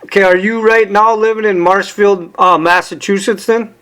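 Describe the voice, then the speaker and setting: A man's voice: high voice, fairly even intonation, normal speed, bright, forceful tone, a little hiss or hum. A man hosting an interview show, asking direct, conversational questions and checking facts with his guest.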